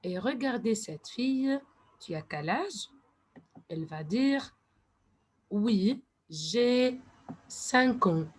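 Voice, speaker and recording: A woman French teacher walks children through a worksheet, speaking very slowly. She draws each word out with care. Now and then she slips into English to explain. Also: a little high, expressive. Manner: slow, deliberate, switching to English to explain. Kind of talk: classroom lesson.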